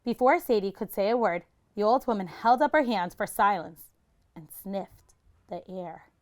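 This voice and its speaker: A woman's voice: mid-range voice, moderate pace. A woman reading a children's picture book aloud like a teacher, expressively and with dramatic emphasis.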